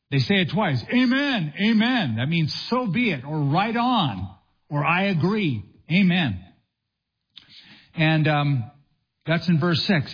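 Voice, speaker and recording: A man preaching an expository sermon as a pastor, with a husky voice and deliberate, emphatic phrasing built on rhetorical questions. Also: fairly high pitch, highs cut off.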